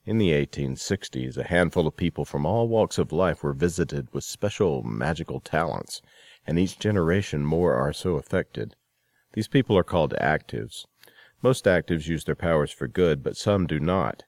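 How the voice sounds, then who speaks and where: A male host with a very deep voice presents in a radio style. The sound is dry, with little room around it. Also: animated, normal speed, darkish tone, dry recording. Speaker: show host. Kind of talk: radio-style hosting and scripted announcements.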